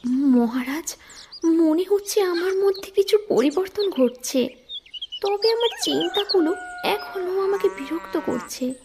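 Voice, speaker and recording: A woman voicing a lonely, grieving widow who pleads softly and tearfully. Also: very high-pitched, lively intonation, unhurried, noisy background, pitched above the performer's own voice, full character voice. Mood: pleading.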